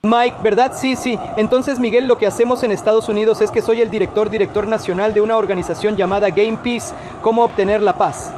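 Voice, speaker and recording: A man's voice: high-pitched, fairly even intonation, brisk, few pauses, background noise. A middle-aged man from India who grew up there, earnestly explaining his organization's work in a casual conversation, sprinkling in Arabic phrases. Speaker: guest speaker, organization director.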